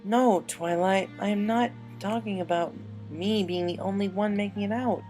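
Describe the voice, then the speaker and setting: A woman voicing a young female cartoon pony, a loyal friend full of guilt, speaking in a halting, emotional, pleading way. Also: mid-range voice, fairly even intonation, mellow, soft background music, voice pushed up, close to an everyday voice. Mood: guilty, anguished.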